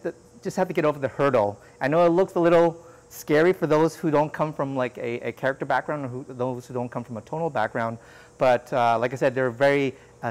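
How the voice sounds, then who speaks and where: Man explaining at a measured pace. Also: medium pitch. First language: Cantonese. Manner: measured, explanatory, frequent "uh" fillers. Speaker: interview guest, economist. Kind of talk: conversational interview answers.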